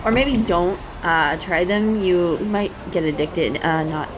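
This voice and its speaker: A shy, adorkable young woman played by a female voice actor in an intimate coffee-shop roleplay. Her voice sits a little low and moves very slowly, full of nervous hesitation and stammering. Noisy cafe ambience runs clearly behind her. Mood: awkward, friendly. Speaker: audio roleplay performer. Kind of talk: intimate one-sided audio roleplay acting. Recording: clear background noise, cafe ambience effects. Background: coffee shop sound effects.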